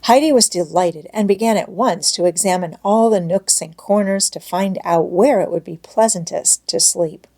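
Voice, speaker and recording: A woman reading a children's classic aloud as scripted storybook narration, in a measured, expressive manner. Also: lowish voice. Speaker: audiobook narrator.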